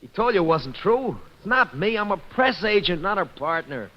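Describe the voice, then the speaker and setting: An actor playing a nervous, self-protective American press agent in an old-time radio drama. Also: male voice, high voice, very animated, moderate pace. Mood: defensive. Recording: dull old radio recording.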